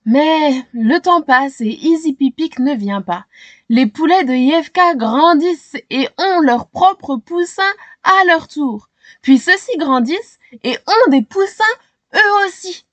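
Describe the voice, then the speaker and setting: A woman reading a children's storybook aloud in an expressive, animated way, as if to young listeners. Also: sits high, takes it slowly, no room sound. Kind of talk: expressive scripted storybook narration.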